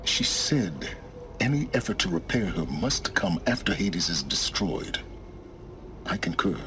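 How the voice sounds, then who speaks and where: A deep, cerebral middle-aged scholar from a video game, cold and secretive. His formal, grandiose phrasing swings widely in pitch, and clear ambient noise sits behind him. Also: very animated, a little slow, bright, forceful tone, full character voice. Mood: measured, grave. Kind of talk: dramatic video game dialogue.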